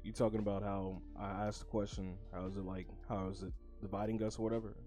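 A man hosting a casual podcast chat, laid-back and a bit rambling, keeping his voice low. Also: level tone, fast, warm tone, faint music behind. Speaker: podcast host.

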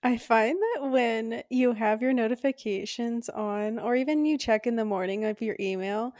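A woman in her twenties co-hosting a podcast, playful and quick with asides. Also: on the high side, a little mellow, dry recording, studio quality. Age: 20s. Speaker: podcast co-host. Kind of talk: casual chat.

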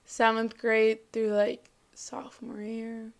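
A young woman from Mexico, a guest on a casual podcast, talking softly and hesitantly with many fillers and small laughs. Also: a little high, little pitch movement, slow, gravelly. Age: 20s. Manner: soft-spoken, hesitant, giggly, many fillers. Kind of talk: casual chat.

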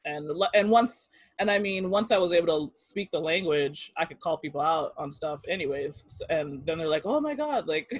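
A man in his thirties telling personal stories in an interview, halting with fillers and restarts and laughing easily. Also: a little high, animated, dry recording. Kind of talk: casual storytelling interview.

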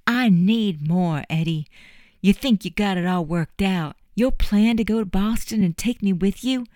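A woman's voice: mid-range voice, unhurried, mellow, no room sound, studio quality, pitched above the performer's own voice, natural voice. A woman voicing a proud young servant woman who speaks in folksy dialect, in an audiobook. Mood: impassioned. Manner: impassioned, folksy dialect speech. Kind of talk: audiobook character voice.

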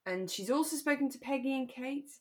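A woman co-hosting a podcast, talking in a relaxed, reflective and agreeable way about a drama. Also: high voice, brisk. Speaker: podcast co-host. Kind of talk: relaxed discussion of a radio drama.

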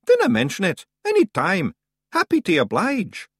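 A man's voice: high voice, wildly swooping pitch, moderate pace, fairly bright tone, breathy edge, voice pushed up, full character voice. A man voicing a small, cocky fairy man with a broad, boisterous Scottish accent in an audiobook. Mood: brash cheery. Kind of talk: audiobook character voice.

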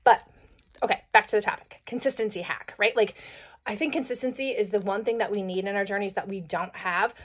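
A woman in her thirties or forties hosting a motivational podcast, hoarse from a cold, talking energetically and often asking "right?". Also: medium pitch, fairly quick. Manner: energetic, chatty, peppered with "right?" and "like". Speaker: podcast host. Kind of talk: motivational solo podcast talk. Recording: slightly dull lo-fi audio.

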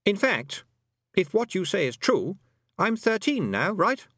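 Guy doing a lost 12 yr old boy in an audiobook, snooty but worried, high voice, very up and down. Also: a little slow, no room sound, studio quality, heavily acted. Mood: indignant. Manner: excitable, emphatic. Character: twelve-year-old lost boy, haughty yet anxious.

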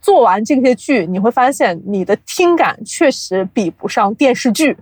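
A woman speaking casually as an interview guest, explaining things in an animated, chuckle-prone way like an industry insider. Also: a little high, normal speed, slightly rough, no echo. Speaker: guest, audio drama producer and company CEO.

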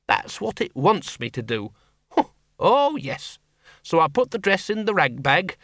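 A man voicing a sharp-tongued older spinster who runs a farm, talking bluntly in country speech. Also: high voice, dry recording, clean studio sound, pitched above the performer's own voice. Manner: brisk, blunt country talk. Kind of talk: audiobook character voice.

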